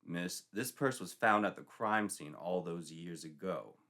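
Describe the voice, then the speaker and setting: Man acting a stern low-voiced police sergeant. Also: bright, forceful tone, heavily acted. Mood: grave, suspicious. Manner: measured, grave, interrogating.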